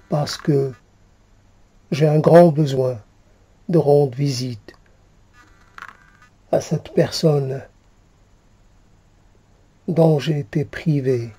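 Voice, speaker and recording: A man reciting poetry in a solemn, halting manner, repeating phrases as he goes. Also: medium pitch, warm tone, slightly rough. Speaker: poet reciting own poem.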